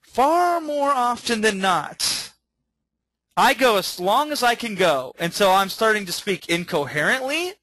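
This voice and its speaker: High, bright-voiced man teaching eagerly. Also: measured pace, no room sound. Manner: energetic, keeps asking okay and all right. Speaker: webinar trainer. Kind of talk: recorded webinar teaching.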